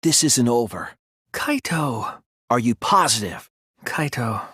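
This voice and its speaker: A young male detective in a dramatic anime video game. The voice is a little breathy and recorded close and dry, with lively, wide-ranging pitch. He sounds earnest and unsure at times, then argues with real force. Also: slow pace, no echo, heavily acted. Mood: tense, questioning. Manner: earnest, hesitant, turning forceful when arguing. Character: earnest teenage boy detective, hesitant but determined.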